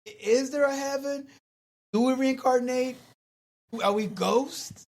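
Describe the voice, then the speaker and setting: A man's voice: very high-pitched, a little slow, a little mellow. A man co-hosting a casual podcast, musing and asking big questions with lots of "like" filler.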